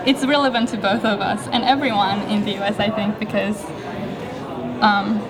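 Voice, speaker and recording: A young woman who grew up in Japan, a graduate student, speaking softly and hesitantly in a casual interview. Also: medium pitch, steady tone, normal speed, rough recording. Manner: soft, hesitant, light laughs. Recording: loud crowd noise behind. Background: crowd chatter.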